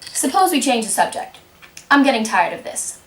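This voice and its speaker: A woman's voice: on the high side, very animated, moderate pace, slight background noise. A woman voicing a brusque, contrary tea-party host in an amateur puppet show, speaking insistently. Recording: roomy, distant microphone.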